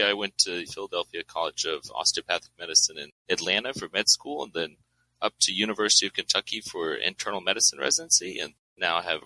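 Deep, very bright young American man. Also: no echo, poor audio. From Houston, United States. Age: young adult. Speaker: podcast guest, cardiology fellow. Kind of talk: conversational self-introduction.

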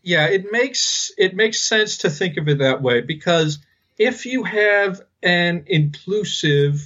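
A man hosting a casual podcast, speaking hesitantly and haltingly as he thinks aloud. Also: high voice, animated, a bit bright, dull audio. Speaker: podcast host.

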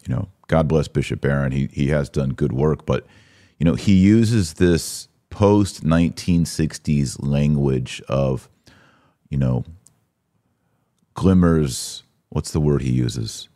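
A man's voice: a bass voice, slow pace, warm tone. A man hosting a podcast, giving conversational religious commentary in an emphatic, teacherly way. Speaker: podcast host.

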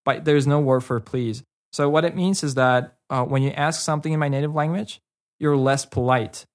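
A man's voice: medium pitch, fairly even intonation, normal speed. A man, a guest in a casual interview, talking hesitantly with many fillers and restarts. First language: Karachay.

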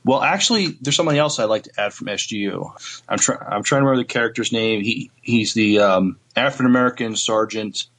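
A man co-hosting a podcast in casual chat, halting and searching for his words. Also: fairly low pitch, a bit bright. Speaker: podcast co-host.

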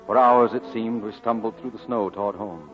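A man's voice: fairly low pitch, steady tone, normal speed, lightly acted. An actor playing a grown man recalling his boyhood, narrating solemnly and lyrically in 1940s radio style. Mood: solemn, reminiscent. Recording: dull old radio broadcast, clear music behind.

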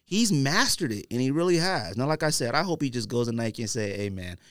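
An American man hosts a casual podcast in a medium-pitched voice. He talks in slang and tells things animatedly, voicing imagined quotes as he goes. The sound is dry, with little room in it. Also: lively intonation, a little fast, a bit bright, no echo. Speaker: podcast host.

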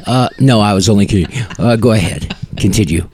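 A man's voice: on the low side, fairly quick, a crowd in the background. A man hosting a podcast in casual banter, wry, tongue-in-cheek and self-deprecating. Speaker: podcast host. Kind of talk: casual podcast banter, partly scripted announcements.

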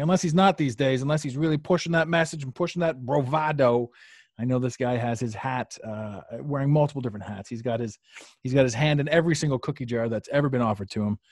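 A man hosting a podcast in a casual, wandering way, circling back on his own phrases. His voice sits at an ordinary middle pitch. The sound is dry, with no room echo. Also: fast talker, a little mellow. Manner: rambling, repeats himself, many fillers. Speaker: podcast host. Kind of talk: casual live podcast chat. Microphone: podcast mic on a live stream.

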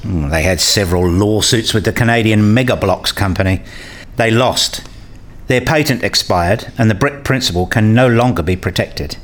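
A man's voice: lowish voice, normal speed, natural voice. A man playing an inquisitive hobbyist in a radio play, questioning and reasoning aloud.

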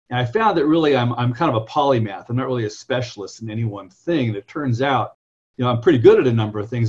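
A man in his fifties from California, a software entrepreneur telling long, self-correcting stories as a guest in an interview. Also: medium pitch, husky, highs cut off. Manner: rambling, self-correcting, anecdotal. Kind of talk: conversational interview storytelling.

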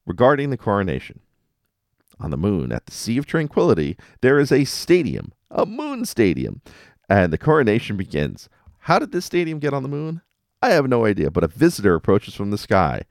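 A man's voice: fairly low pitch, dry recording, studio quality. A man hosting a podcast, giving a casual, wry and playful episode recap with dramatic emphasis. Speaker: podcast host.

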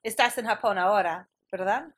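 A woman hosting the interview, speaking with lively, expressive intonation and asking slow, deliberate questions. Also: medium pitch. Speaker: host. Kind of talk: interview hosting and scripted intro.

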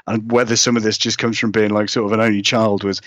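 A man's voice: on the low side, little pitch movement, fast, fairly bright tone, no room sound, muffled recording. A man chatting casually as an interview guest about his writing, rambling, hedging and self-deprecating. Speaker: guest, horror fiction author. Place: remote call from another country.

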